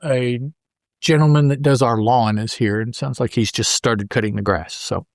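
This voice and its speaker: A man hosting a podcast, casually recapping a story in a halting way as if thinking aloud. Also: mid-range voice, gravelly, no room sound, studio quality. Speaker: podcast host. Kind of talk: casual panel recap of a comic book.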